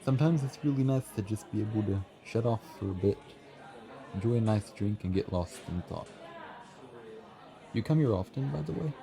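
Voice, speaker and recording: Male actor as shy, friendly café regular, young writer type. Deep voice, bashful and earnest ASMR roleplay delivery, busy chatter behind. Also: lively intonation, normal speed, creaky, long pauses. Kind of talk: ASMR roleplay, soft conversational acting. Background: café crowd chatter.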